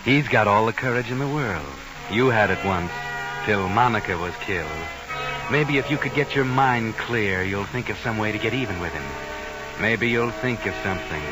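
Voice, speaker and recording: Actor as a low, sinister radio mystery narrator. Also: male voice, moderate pace, close to an everyday voice, low-quality recording. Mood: insinuating. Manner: sly, goading, addresses the character directly. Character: sinister, insinuating inner-voice narrator who taunts the listener. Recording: old radio broadcast with music behind.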